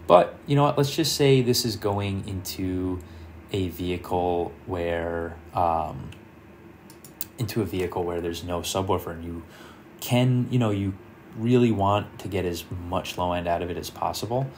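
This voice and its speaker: Man, low-pitched voice, casual tech tutorial, explanatory, thinking aloud, noticeable background noise with keyboard clicks. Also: unhurried, darkish tone. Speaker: car audio tutorial presenter. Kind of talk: informal screen-share software tutorial.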